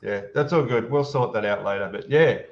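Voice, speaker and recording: A man's voice: medium pitch, a little fast, muffled recording. Joking man hosting a live stream. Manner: laughs easily, chatty and joking. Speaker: channel host. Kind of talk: casual live stream chat. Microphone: voice call.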